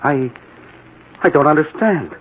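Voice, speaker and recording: A man's voice: on the high side, animated, unhurried. An actor playing a frightened, earnest young man in an old-time radio drama, anxious and pleading. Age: young adult. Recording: muffled, hissy old radio recording.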